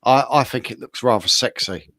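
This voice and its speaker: A man's voice: mid-range voice, normal speed, pushed and bright, no echo. A man co-hosting in casual chat, rambling and insistently repeating himself. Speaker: co-host.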